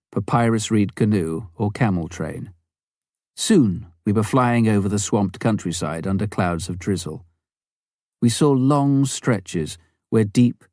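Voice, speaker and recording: A man narrating a missionary memoir as an audiobook, in a measured storytelling manner with careful phrasing. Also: fairly low pitch, dark tone, no echo, studio quality.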